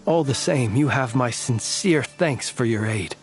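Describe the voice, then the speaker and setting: A man's voice: mid-range voice, animated, unhurried, faint music behind, lightly acted. An actor playing a courtly, world-weary, proud and stoic male warrior in a video game, speaking in formal, archaic phrasing. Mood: humble, courteous. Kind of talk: video game voice acting, scripted dialogue.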